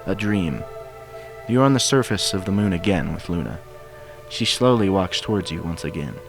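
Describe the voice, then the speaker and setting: A man with a fairly deep voice reads a story aloud. His delivery is scripted narration, and music plays clearly behind him. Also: animated, moderate pace, low-quality recording. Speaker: fan fiction reader. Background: background music.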